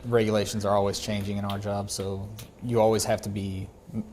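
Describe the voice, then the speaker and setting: Male interviewee, air traffic controller, lower-pitched and flat in delivery, slight background noise. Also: fairly quick. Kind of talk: interview answers about own job.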